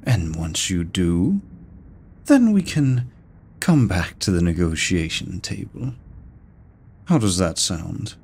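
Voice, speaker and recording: Man voicing a deep, teasing incubus demon. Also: very animated, creaky, a little mellow, husky, exaggerated voice. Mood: courteous, persuasive. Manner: slow, silky, theatrical, courteous with drawn-out words. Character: seductive, teasing male incubus demon, immortal deal-maker. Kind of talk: scripted roleplay audio drama, addressing the listener.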